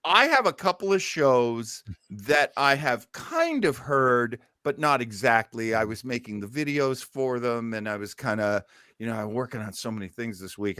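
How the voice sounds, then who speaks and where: Lively man hosting a casual show. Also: medium pitch, no room sound, clean studio sound. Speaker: host of an old time radio listening show. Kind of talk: casual livestream chat.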